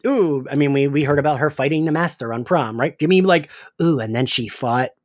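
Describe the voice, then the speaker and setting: A man's voice: a little high, brisk, dry recording, clean studio sound. A man co-hosting a podcast, chatting casually and emphatically as a fan, often slipping into mock dialogue. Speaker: podcast co-host.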